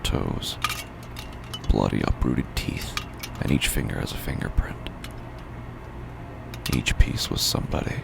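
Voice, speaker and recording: A man acting a haunted, extremely deep gravelly horror narrator. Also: background noise, heavily acted. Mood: grim, numb. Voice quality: very deep gravelly fry, often breaking into whisper. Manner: slow, grim, deliberate delivery. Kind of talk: slow first-person horror audio drama narration.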